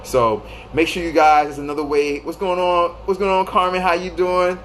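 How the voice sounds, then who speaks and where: A man's voice: fairly high pitch, level tone, moderate pace, a bit bright, a little hiss or hum, rough recording. A man in his thirties hosting a live stream, giving loose, repetitive advice and greeting viewers by name. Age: 30s. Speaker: livestream host.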